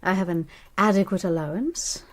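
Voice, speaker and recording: An actress in a radio crime drama playing a bitter, sardonic estranged wife who speaks with cool, cutting scorn. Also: female voice, on the low side, moderate pace, natural voice.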